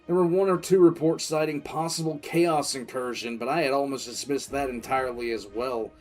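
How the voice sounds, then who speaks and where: A man playing a war-weary military commissar, recounting a crisis in halting, emphatic audio drama narration. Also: medium pitch, a little mellow, natural voice. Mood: tense, focused. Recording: music under the voice.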